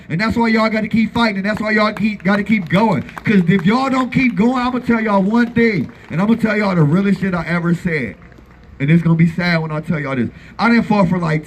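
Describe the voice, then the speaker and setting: A man's voice: sits high, low-quality recording. A man in his thirties giving a blunt, profane and impassioned speech at an outdoor rally, repeating phrases for emphasis. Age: 30s. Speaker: activist speaker at a rally. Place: outdoor gathering.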